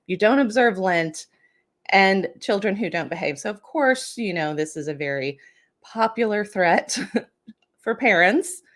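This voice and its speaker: A woman's voice: mid-range voice, expressive, unhurried, a bit bright. A woman hosting a casual storytelling show, talking through folklore in a chatty, wry way with tongue-in-cheek asides. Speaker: host and storyteller.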